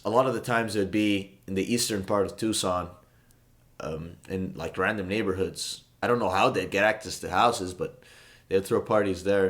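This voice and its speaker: Rambling young man, low-pitched, native Arabic speaker. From Saudi Arabia. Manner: meandering, self-correcting, many fillers, mock-earnest. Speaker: songwriter explaining his song. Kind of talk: casual rambling explanation.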